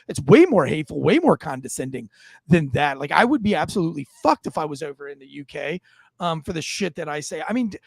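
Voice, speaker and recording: A man's voice: on the high side, very animated, fast, vocal fry, slightly rough, no room sound. A man on a podcast, ranting casually with lots of swearing and restarts. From United States. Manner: rambling, swearing, many restarts. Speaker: podcast co-host. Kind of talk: casual profane rant.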